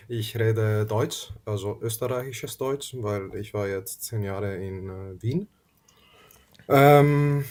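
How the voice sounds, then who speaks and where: Man, slow speech, hesitant, many fillers, video call. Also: mid-range voice, a little mellow. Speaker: caller. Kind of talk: casual video call introduction.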